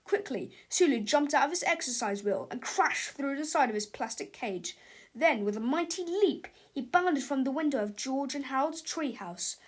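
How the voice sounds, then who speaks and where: A woman with a fairly high voice narrates a children's storybook. Her delivery is an expressive read-aloud, made for young listeners. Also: moderate pace.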